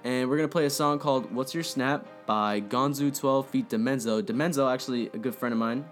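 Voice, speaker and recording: Upbeat young podcast host, faint guitar behind. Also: male voice, medium pitch, a little fast. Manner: upbeat, hypes songs, drives the show. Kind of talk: casual chat.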